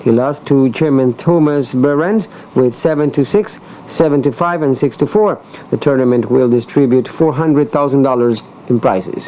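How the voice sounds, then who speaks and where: A man's voice: mid-range voice, moderate pace. A man presenting scripted sports news on a radio broadcast, reading as a newscaster. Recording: tinny, band-limited shortwave radio broadcast. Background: shortwave radio reception.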